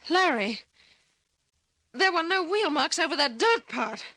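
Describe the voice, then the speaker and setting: Anxious young heroine, Australian, high and very animated, earnest and insistent, vintage radio thriller. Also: female voice, measured pace, dry recording, studio quality, heavily acted. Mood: alarmed. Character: anxious, earnest young woman, the detective's companion. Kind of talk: old-time radio drama acting.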